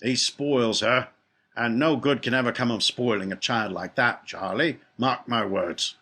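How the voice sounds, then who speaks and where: A man's voice: medium pitch, unhurried, bright, forceful tone, husky, heavily acted. A man voicing disapproving elderly grandparents in a storybook, scolding with emphasis. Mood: stern. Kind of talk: storybook character voice.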